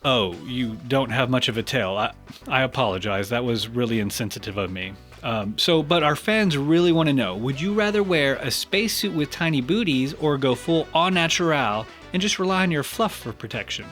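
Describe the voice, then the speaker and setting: A man hosts a podcast in a playful interview style. He keeps a tongue-in-cheek, mock-earnest tone while his pitch moves in a lively way. Music plays quietly underneath. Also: normal speed. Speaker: podcast host.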